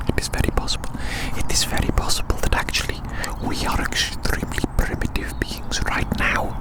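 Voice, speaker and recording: Breathy, whispered ASMR musing. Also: low-quality recording. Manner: slow musing, gently repeats phrases; playful, silly. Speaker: ASMR video host. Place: small outdoor dog park.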